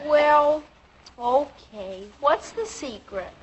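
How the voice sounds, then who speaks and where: A woman's voice: high-pitched, animated, very slow, exaggerated voice. An actress playing a glum, stubborn, whiny little girl in a 1950s radio sitcom. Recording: noisy, muffled old radio recording.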